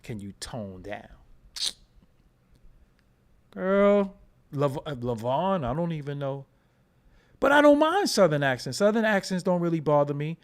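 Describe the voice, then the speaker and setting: A man's voice: fairly high pitch, big pitch swings, unhurried, long pauses. A man with a New York accent giving loose, profane commentary and often asking "you know what I'm saying". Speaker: commentary vlogger. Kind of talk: casual commentary.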